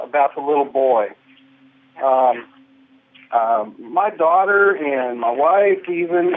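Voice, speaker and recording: Guy on a tinny phone line, kinda higher voice, halting, lots of ums. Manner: hesitant, halting. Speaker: caller. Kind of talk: call-in radio question.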